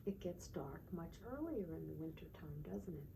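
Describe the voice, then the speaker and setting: A woman teacher reading aloud to young children in a sing-song way, pausing to ask simple check-in questions. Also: deep voice, animated, gravelly, noisy background. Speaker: children's teacher and storytime reader.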